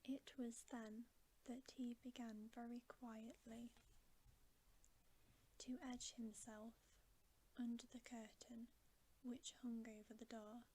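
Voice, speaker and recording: A woman reading a bedtime story for ASMR in a soft, hushed, unhurried whisper. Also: fairly high pitch, level tone, poor audio. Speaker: ASMR reader and channel host. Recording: faint background hiss.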